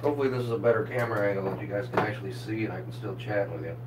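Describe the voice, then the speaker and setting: A man cooking in his home kitchen and chatting with viewers on a live stream, his voice hoarse as he gets sick. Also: on the low side, very fast, far from the mic, very noisy. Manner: chatty, greets viewers by name while cooking. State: voice going hoarse, getting sick. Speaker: home cook livestreaming. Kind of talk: casual cooking livestream. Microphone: phone camera.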